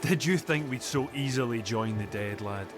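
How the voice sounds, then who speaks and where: An actor playing a gruff, proud older father who lives in the hills, wry in manner, in a video game. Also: mid-range voice, normal speed, over music, close to an everyday voice. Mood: wry, grave. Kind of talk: video game dialogue acting.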